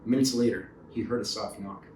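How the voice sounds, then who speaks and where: A man's voice: on the low side, steady tone, brisk, a little mellow. A man reading a children's story aloud as a narrator, recorded in a roomy space away from the mic. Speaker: storybook reader. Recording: roomy echo, distant mic.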